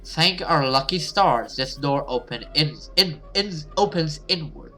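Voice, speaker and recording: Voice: medium pitch, expressive, a little slow, sharp tone, faint music behind. A gaming video host who reads a horror story dramatically and adds casual commentary.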